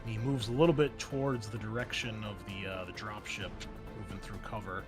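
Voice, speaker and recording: A man's voice: on the low side, fairly even intonation, fairly quick. A man running a tabletop roleplaying game as game master, narrating in a casual, descriptive, wry manner. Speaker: game master and show host. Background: music behind the voice.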